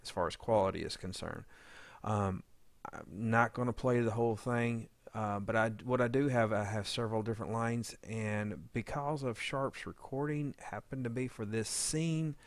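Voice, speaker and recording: A man giving an informal editing tutorial, talking haltingly as he thinks aloud while working. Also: on the low side, no room sound. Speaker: audio drama editor giving a tutorial. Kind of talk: informal software walkthrough.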